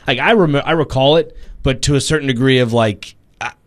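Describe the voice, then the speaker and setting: Male cohost, mid pitch voice, casual rambly plainspoken chat. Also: fairly quick. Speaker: radio show co-host.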